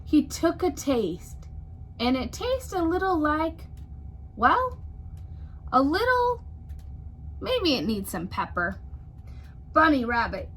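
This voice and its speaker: Slow, high-voiced woman reading a storybook. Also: very animated, fairly bright tone. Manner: deliberate, emphatic. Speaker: teacher reading aloud to first graders. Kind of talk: expressive children's storybook read-aloud.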